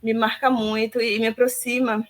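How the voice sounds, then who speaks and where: A woman in her thirties from Salvador, Brazil, answering interview questions reflectively with many pauses and fillers. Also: fairly high pitch, little pitch movement. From Salvador, Bahia, Brazil. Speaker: guest, philosophy teacher.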